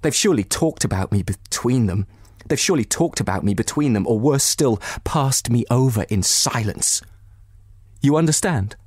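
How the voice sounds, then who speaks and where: Man acting out a wronged wife, bitter, tearful, husky, halting emphasis, audiobook drama, close dry sound. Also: medium pitch, expressive, a little mellow, no echo. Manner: anguished, emphatic, halting delivery. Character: distraught betrayed wife, bitter, near tears.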